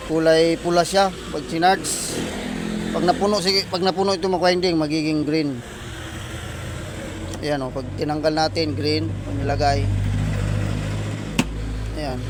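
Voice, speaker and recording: Halting man over loud street noise. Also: a little high. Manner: halting, repeats himself, addresses viewers often. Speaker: vlogger and repair technician. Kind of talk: casual hands-on gadget testing vlog. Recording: very noisy, with passing vehicles.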